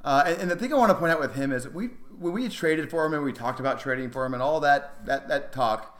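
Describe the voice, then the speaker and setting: A man's voice: on the high side, fairly bright tone. A man co-hosting a casual sports podcast, talking in an enthusiastic, emphatic way. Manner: enthusiastic, emphatic, quick to laugh. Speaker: podcast co-host.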